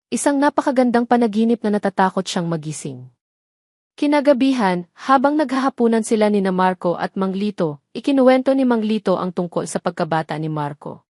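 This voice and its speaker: A woman delivers scripted story narration in a dramatic audio format. Her pitch sits in the middle range, and the sound is dry, with hardly any room on it. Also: a little mellow, dry recording.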